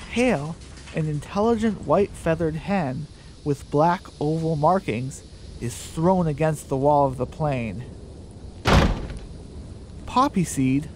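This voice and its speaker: Slow-paced male storyteller over rumbling noise. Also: darkish tone. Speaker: narrator. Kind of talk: scripted radio drama narration.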